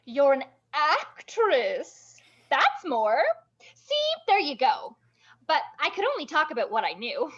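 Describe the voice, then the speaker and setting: Woman playing squeaky-high, brash burlesque performer. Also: very animated, slow, fairly bright tone, highs cut off, heavily acted. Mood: bossy, blunt. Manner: gushing, bossy, foul-mouthed, emphatic. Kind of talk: animated table-read acting.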